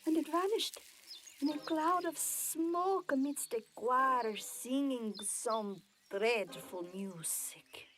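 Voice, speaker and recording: A woman voicing a melodramatic, gossipy snake in a storybook audio drama, with theatrical, breathy, drawn-out delivery. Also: high-pitched, fairly bright tone. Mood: solemn, dramatic.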